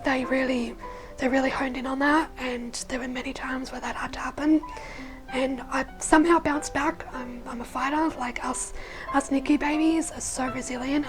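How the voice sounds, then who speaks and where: A young woman with a husky, hoarse voice tells her own story candidly, in a soft and breathy way, often with restarts and fillers. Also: high voice, poor audio. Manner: soft and breathy, drifting into whispers. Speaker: channel host. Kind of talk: personal storytelling.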